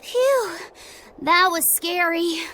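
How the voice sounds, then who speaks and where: A woman playing an earnest, sensitive and excitable young boy in an anime-style game cutscene. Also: very high-pitched, slow pace, a little hiss or hum, heavily acted. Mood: sheepish. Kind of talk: English-dubbed anime game cutscene acting.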